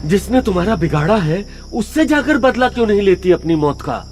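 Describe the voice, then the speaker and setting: Man as a high-voiced, agitated protective father. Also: animated, a little fast, darkish tone, soft background music. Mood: indignant. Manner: agitated, emphatic, pleading. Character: worried protective young father confronting a ghost. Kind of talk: horror cartoon story acting.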